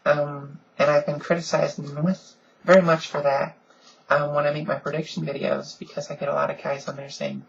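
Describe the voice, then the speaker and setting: A woman with a deep voice she softens a little, talking earnestly and casually in a home vlog with lots of ums. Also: level tone, moderate pace, gravelly, lo-fi sound, a little hiss or hum. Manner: frequent "um"s, earnest and conversational. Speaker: vlogger. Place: at home, in pajamas.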